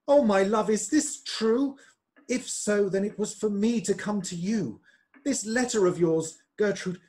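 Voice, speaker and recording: A man as a fervent, animated politician husband. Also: high-pitched. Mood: fervent, tender. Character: fervent, troubled male politician and devoted husband. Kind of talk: amateur radio play acting of a period drama.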